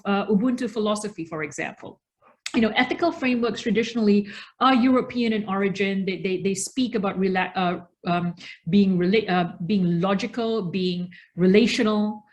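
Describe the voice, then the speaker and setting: Woman from australia in her 40s, researcher on a webinar, mid voice, thoughtful talk, leans on key words. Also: medium pitch. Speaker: researcher, invited panel speaker.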